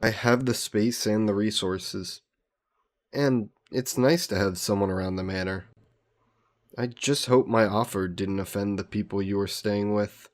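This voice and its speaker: A man playing an old aristocratic vampire in a romantic audio roleplay, speaking in formal, genteel, measured phrases. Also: fairly low pitch, natural voice. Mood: polite, reflective. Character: ancient aristocratic male vampire, courtly, protective, gently teasing.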